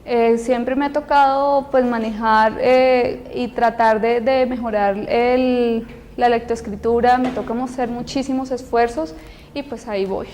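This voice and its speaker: A woman interpreting aloud for an interviewee, halting with many fillers and restarts. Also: a little high, fairly even intonation, darkish tone, in a large hall, a little hiss or hum. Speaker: sign language interpreter voicing a deaf student. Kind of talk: interview answer, spoken interpretation.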